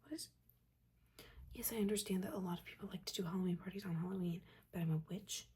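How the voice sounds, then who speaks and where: A woman voicing a judgmental but caring witch mentor in a breathy, chatty, chiding whisper, in a soft-spoken ASMR roleplay. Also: lowish voice, steady tone, fast talker. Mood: chiding, fussy. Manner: chatty, chiding, casual slangy asides.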